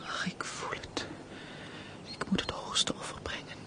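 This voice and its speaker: A woman's voice: unhurried, a bit bright, in a whisper, lightly acted. A woman acting a sorrowful, penitent baroness in a Dutch radio play, in an anguished, sighing, theatrical manner. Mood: breathy anguish. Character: sorrowful, penitent, guilt-ridden fallen baroness. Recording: noisy old radio play recording.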